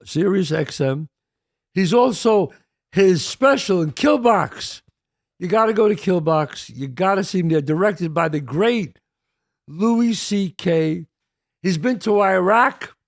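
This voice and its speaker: A man's voice: high-pitched, animated, slow, vocal fry, no room sound, clean studio sound. A man hosting an interview, introducing his guest with enthusiasm and repeating his words. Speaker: host.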